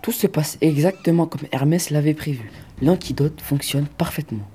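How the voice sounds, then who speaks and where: A man's voice: fairly high pitch, moderate pace, dark tone, slight background noise. A teenage boy reading scripted narration in an audio drama.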